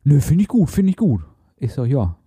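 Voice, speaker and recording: Ruhrpott dude telling stories straight, super dark voice, dry mic. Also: male voice, a little high, big pitch swings, moderate pace, slightly rough, no echo. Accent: Ruhr German. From Ruhr area, Germany. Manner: blunt, storytelling, acts out quotes. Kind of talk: casual chat. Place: studio room in Duisburg.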